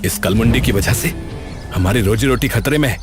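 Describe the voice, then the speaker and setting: An actor playing a jealous, scheming village shopkeeper in a cartoon, bitter and grumbling. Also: male voice, medium pitch, fairly quick, music in the background, natural voice.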